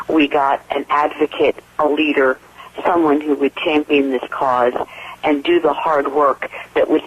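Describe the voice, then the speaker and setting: A middle-aged American woman giving earnest, emphatic remarks as an advocacy leader on a telephone conference call, phrasing things deliberately. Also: extremely low pitch. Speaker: advocacy group president and founder. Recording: muffled, noisy telephone conference line.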